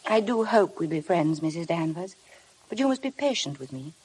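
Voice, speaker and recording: An actress playing a timid, eager young bride in a 1950s radio drama, speaking earnestly and politely. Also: female voice, lowish voice, expressive, moderate pace, a little mellow, a little hiss or hum, natural voice, poor audio. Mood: nervous, polite.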